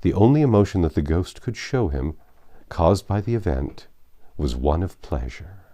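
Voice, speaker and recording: Deep, mellow male storybook narrator. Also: big pitch swings, moderate pace, no room sound. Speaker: audiobook narrator.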